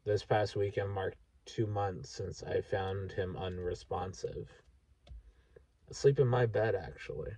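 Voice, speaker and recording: A man of about thirty, talking frankly and haltingly in a sweary, candid personal vlog monologue. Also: sits low, fairly even intonation, warm tone, no echo, recorded from a distance. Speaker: vlogger. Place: inside a parked vehicle at night.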